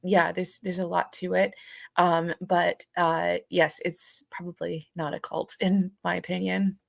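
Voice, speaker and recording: A woman's voice: on the low side, little pitch movement, a little slow, creaky. A woman co-hosting a live podcast, chatting informally and hesitantly as she searches for her words. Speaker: podcast co-host. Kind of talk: casual live podcast chat.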